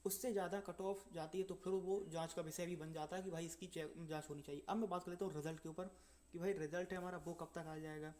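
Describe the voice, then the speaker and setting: एक युवा पुरुष, परीक्षा तैयारी चैनल का होस्ट, दर्शकों से अनौपचारिक अंदाज़ में बात करते हुए, रुक-रुक कर और अंकों को दोहराते हुए समझाता है।. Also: male voice, on the high side, little pitch movement, fast talker, a little mellow. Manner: explanatory, repeats numbers, frequent fillers. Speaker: exam-prep channel host. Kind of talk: casual talk to viewers.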